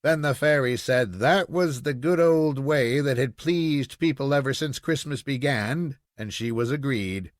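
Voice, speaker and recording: A man reading a children's story aloud in an expressive, measured storytelling manner. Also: mid-range voice, no room sound, studio quality. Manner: measured storytelling with acted dialogue. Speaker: audiobook reader.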